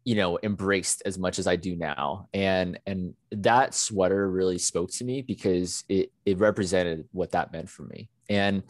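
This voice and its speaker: A man's voice: deep voice, unhurried, hardly any pauses, no room sound, studio quality. A man from the United States co-hosting a casual podcast, talking in an earnest, reflective way with many "you know" fillers. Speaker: podcast co-host.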